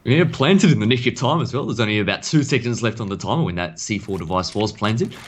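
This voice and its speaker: Fast-talking croaky esports caster man. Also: lowish voice, slight background noise. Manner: rapid, analytical play-by-play. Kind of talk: live esports commentary.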